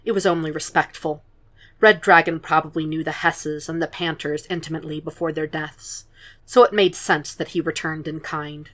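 A woman narrating a fan fiction podfic in a quiet, measured reading voice. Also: low-pitched, steady tone.

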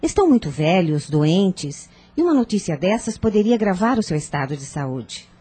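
Low, husky, discreet aunt. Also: female voice, very animated, normal speed, mellow. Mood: grave, confiding. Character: discreet, protective middle-aged aunt, lady of the house. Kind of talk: radio soap opera acting.

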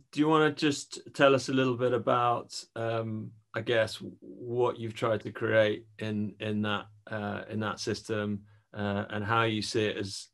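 A man interviewing a guest casually, haltingly rephrasing his questions as he goes. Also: fairly low pitch.

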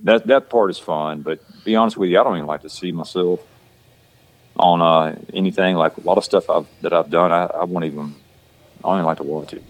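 Deep-voiced man with Southern country accent. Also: brisk, rough recording. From Georgia, USA. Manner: rambling, repeats words and restarts, says "you know" often. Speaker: actor guest. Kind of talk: casual podcast chat.

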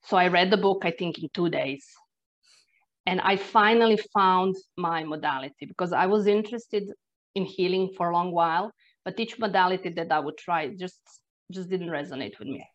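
A woman's voice: fairly low pitch, normal speed. A Croatian woman with an accent, a non-native English speaker, telling personal stories in a reflective, earnest way as an energy therapist guest. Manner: reflective, earnest, with sighs and restarts. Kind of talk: personal storytelling in conversation. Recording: dull lo-fi sound.

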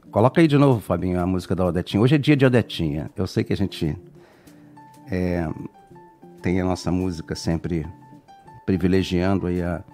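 A man's voice: sits low, mellow. A man hosting a live radio program, talking in an effusive, rambling way full of restarts. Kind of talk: warm live radio presenting.

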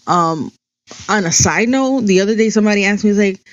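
A woman's voice: mid-range voice, a little fast, dry recording, lo-fi sound. A middle-aged woman from New Jersey, whose first language is Spanish, talks in a chatty, digressive personal monologue full of "um" and "you know". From New Jersey, USA.

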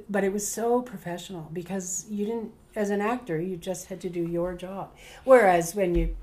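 An older Canadian woman, a veteran stage actor, casually telling rambling, animated stories in an interview and often breaking off to correct herself. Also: on the low side. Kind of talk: casual reminiscing, storytelling.

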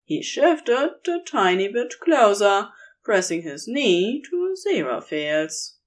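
A woman's voice: medium pitch, sing-song, takes it slowly. A woman narrating romantic fiction from a script as a storyteller.

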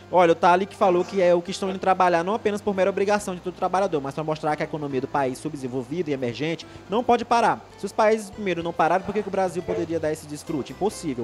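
A young man from Maranhão with a Maranhão accent hosting a live online show, chatting casually, reading viewer comments and often restarting his sentences. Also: a little high. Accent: Maranhão Brazilian Portuguese. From Maranhão, Brazil. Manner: rambling, many restarts, chatty and upbeat. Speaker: live stream host.

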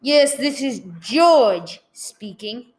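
Shifty hitman for radio drama, played by a woman: highish voice, clipped, casual about dirty work. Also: lively intonation, drawn-out words, reverberant. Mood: shifty, reassuring. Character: hired male killer George, shifty, reassuring, businesslike. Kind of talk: radio play acting.

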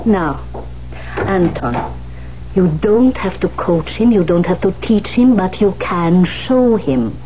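A woman's voice: fairly low pitch, expressive, takes it slowly. A woman acting in a 1970s radio drama, playing an old piano teacher, insistent, plain-spoken and commanding. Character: bossy, persuasive old female piano teacher. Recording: dull 1970s radio broadcast, faint hum.

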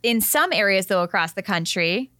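A Canadian woman co-hosts a casual chat in a bright, forward voice at an average pitch. She is talkative and often turns to her partner with questions. The recording is close and dry. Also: lively intonation, normal speed, no room sound. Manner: chatty, often asking questions. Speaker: show co-host.

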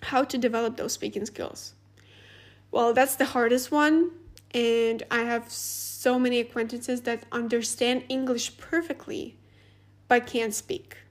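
High-voiced woman. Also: a little slow. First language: Russian. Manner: chatty, animated, laughs often, many "like" fillers. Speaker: podcast host. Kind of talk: casual advice monologue.

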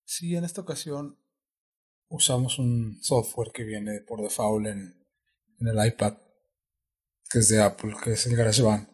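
A man's voice: fairly low pitch, measured pace, long pauses, husky. Man explaining synthesizers, patiently. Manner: patient, explanatory, repeats and restarts words while thinking. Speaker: guest sound engineer. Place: music studio.

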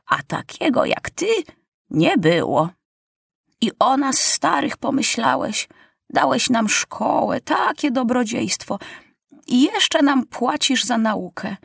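A gentle old woman of eighty, speaking plainly and gratefully, as a woman reading the story puts her on. Her voice is a bit husky and rises and falls a lot. It is recorded close and dry. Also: on the high side, big pitch swings, slow pace, no echo, pitched above the performer's own voice, full character voice. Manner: gentle, plain-spoken, warm praise.

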